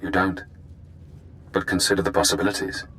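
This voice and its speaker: Sinister game mastermind: very low voice, cool, measured, calculating, over a faint rumble. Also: male voice, steady tone, pushed and bright. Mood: sly, calculating. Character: calculating, sinister male genetically engineered intelligence prisoner. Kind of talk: video game voice acting.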